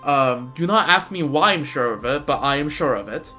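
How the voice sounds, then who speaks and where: A man doing casual live game commentary as a streamer, rambling and halting as he repeats words. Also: medium pitch, lively intonation, sharp tone. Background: game music.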